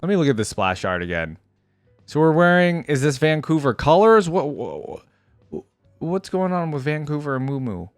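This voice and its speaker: A man's voice: on the high side, very animated, moderate pace, dry recording, clean studio sound, faint music behind. A man giving casual, off-the-cuff reaction commentary, like a video creator talking through what he sees.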